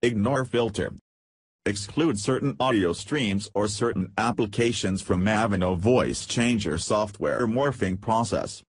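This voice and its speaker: Guy w a lowish raspy voice reading a scripted software promo, very dry sound. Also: measured pace, no echo. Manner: reads feature lists in short headed segments. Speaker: narrator of a software demo.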